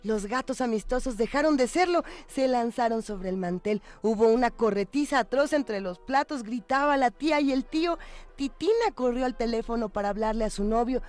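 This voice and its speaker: A woman's voice: fairly high pitch, animated, normal speed, darkish tone. Woman reading a story over faint music.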